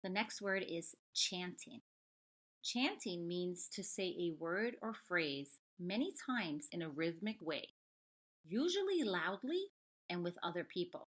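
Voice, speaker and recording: A woman teacher reading aloud to young children, explaining things deliberately and speaking to them directly with expressive storybook delivery. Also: fairly low pitch.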